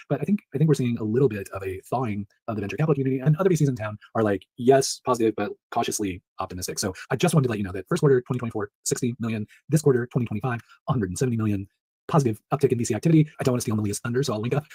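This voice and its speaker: A man hosting a solo startup news recap in a chatty, upbeat way, his voice rough, nasal and stuffed up from allergies. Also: mid-range voice, rapid-fire. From Pacific Northwest. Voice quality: nasal, monotone. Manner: upbeat, chatty, laughs between items.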